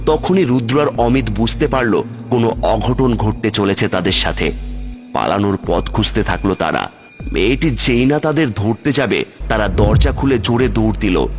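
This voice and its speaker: A man with a fairly low voice narrates a cartoon horror story. He reads as a scripted storyteller. Faint music and night insects are heard behind him.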